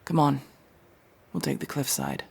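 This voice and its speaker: A woman playing a gruff, guarded, world-weary elf in a fantasy ASMR roleplay, curt and bossy with hushed delivery. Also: a bass voice, big pitch swings, unhurried, exaggerated voice. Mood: bitter, warning. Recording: faint background noise with ambience.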